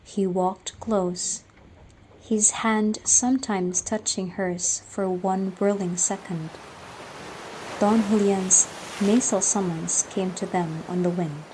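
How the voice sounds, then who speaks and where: Slow, mellow young Filipino woman narrator. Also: medium pitch, fairly even intonation, a little hiss or hum, poor audio. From Philippines. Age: young adult. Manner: measured, solemn storytelling. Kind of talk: scripted literary narration.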